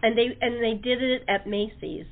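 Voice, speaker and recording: Woman, high voice, chatty and enthusiastic, casual host talk, narrow phone-line sound. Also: steady tone, normal speed. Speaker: talk show host and radio-play producer. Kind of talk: casual chat.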